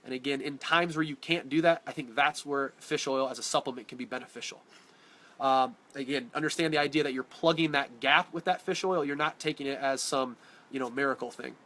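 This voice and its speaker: Male fitness coach, blunt and direct, stuffy congested voice, bright tone, webcam live stream. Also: mid-range voice, fairly even intonation, fairly quick. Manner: direct, blunt, answers viewer questions by name. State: congested from a cold. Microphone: phone or webcam on a live stream.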